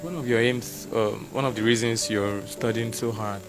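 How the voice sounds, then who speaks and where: A man's voice: medium pitch, steady tone, normal speed, music in the background. A man interviewing a student, repeating and rephrasing his questions.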